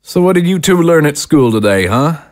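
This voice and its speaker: A man's voice: a little high, big pitch swings, moderate pace, dry recording, studio quality. An actor playing a burly family man in an audio drama, a loving father and a testy husband. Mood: warm, playful.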